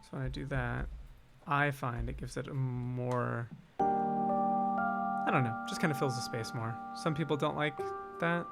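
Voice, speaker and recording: A man with a noticeably creaky, mid-pitched voice gives an informal live-stream lesson on composing and mixing. He thinks out loud in short asides as he works. Loud instrumental music plays along with his talk. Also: fairly even intonation, measured pace. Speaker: music composer and streamer teaching game music writing. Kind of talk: casual live-stream tutorial, thinking aloud while working. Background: loud instrumental game music being mixed, playing during talk.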